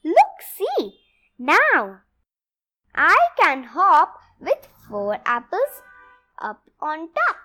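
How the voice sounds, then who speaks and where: A young girl reading a rhyming picture book aloud, expressively and deliberately in short phrases. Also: very high voice, wildly swooping pitch, bright, forceful tone, faint music behind. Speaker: read-along presenter.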